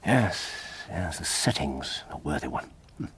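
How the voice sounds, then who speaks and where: An actor with an English accent playing a keen, eccentric gentleman detective, incisive and drily amused, in a radio drama. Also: a bass voice, very animated, unhurried, husky, exaggerated voice. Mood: brooding, intent. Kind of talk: British radio drama acting.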